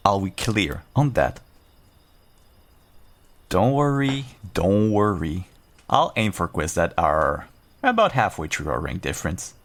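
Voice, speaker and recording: Seasoned werewolf adventurer for fantasy audio roleplay, male actor: very low, gravelly, gruff yet kindly, teasing and reassuring. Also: big pitch swings, takes it slowly, long pauses, a bit bright, full character voice. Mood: amused, agreeable. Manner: reassuring, wry, bantering. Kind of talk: audio roleplay, one-sided dialogue addressed to listener.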